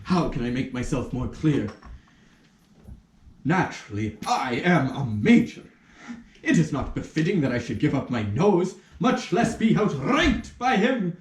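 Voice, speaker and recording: In a roomy, echoing space, a man acts out a pompous army major for a puppet play. His high voice swoops dramatically as he pleads and exclaims in flustered indignation. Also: very animated, a little slow. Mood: indignant pleading. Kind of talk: puppet show stage acting in rehearsal. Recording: roomy echo, somewhat distant mic.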